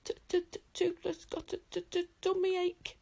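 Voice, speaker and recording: A woman voicing a small, whiny dragon that stammers in a high voice. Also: completely flat delivery, moderate pace, vocal fry, darkish tone. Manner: stuttering, whiny.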